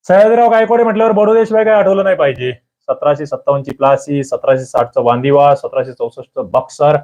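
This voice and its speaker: A man teaching an exam prep lesson, quizzing his listeners with lively questions, then answering them himself. He often clears his throat. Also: medium pitch, highs cut off. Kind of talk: quiz-style exam prep lecture.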